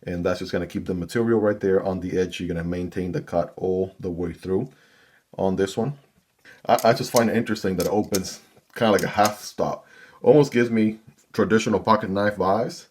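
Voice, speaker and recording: A man's voice: sits low, fairly even intonation, brisk, a little mellow, slight background noise. A man hosting his own gear channel, chatting casually to viewers as he unboxes and reviews a knife. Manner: chatty, talks straight to viewers.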